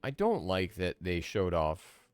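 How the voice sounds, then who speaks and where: Guy in his 30s w a deep voice, casual streamer chat, musing fan opinions. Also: huge pitch swings, measured pace, no echo. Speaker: streamer, podcast host. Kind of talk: casual game stream commentary.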